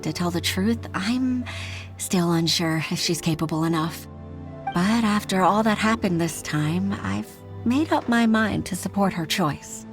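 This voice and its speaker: An actress playing a gentle, earnest grown woman in a video game, modest and a little hesitant in a soft manner. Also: mid-range voice, unhurried, natural voice. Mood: wistful, tender. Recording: music under the voice.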